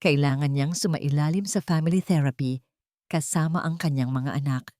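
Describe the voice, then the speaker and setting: A woman's voice: low-pitched, moderate pace, dark tone, no room sound, studio quality. A woman narrating a scripted dramatic audiobook story.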